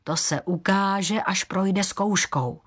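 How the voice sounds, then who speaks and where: A woman's voice: mid-range voice, husky, close to an everyday voice. Lady doing storybook guardian guy, firm n defiant, challenges everyone. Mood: eager, firm. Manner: eager, defiant. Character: steadfast, challenging male guardian of myths.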